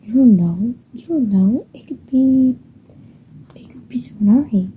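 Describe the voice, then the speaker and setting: Cheerful eager young girl, excitable and bubbly, as a woman puts her on, soft and breathy, on a dull, muffled home recording with faint noise behind. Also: fairly high pitch, measured pace. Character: cheerful eager eleven-year-old girl.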